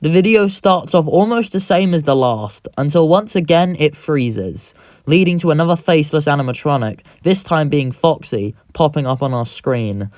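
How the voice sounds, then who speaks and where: A man's voice: on the high side, animated, moderate pace, a little mellow, faint music behind. A man reading scripted narration as the narrator of a horror video, recorded close to the mic.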